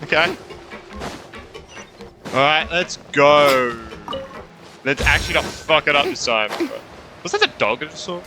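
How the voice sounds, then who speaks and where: A man's voice: a little high, measured pace, pauses often, over music. A young man doing casual, reactive playthrough commentary, muttering asides and repeating himself. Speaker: gaming streamer.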